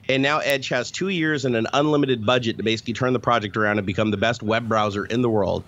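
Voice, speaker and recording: Fast-talking, profane man on a call. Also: mid-range voice, a bit bright. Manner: profane, rambling, quick. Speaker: podcast co-host, former software tester. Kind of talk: casual chat. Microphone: video call. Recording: dull lo-fi call audio.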